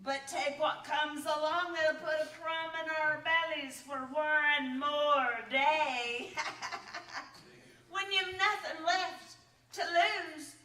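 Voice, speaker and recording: An actress playing a ragged, wicked slum hag in a stage radio play, cackling and drawling her lowlife talk. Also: sharp tone, full character voice. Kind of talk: stage radio-play character acting, mock sponsor ad. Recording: roomy live stage, distant mic.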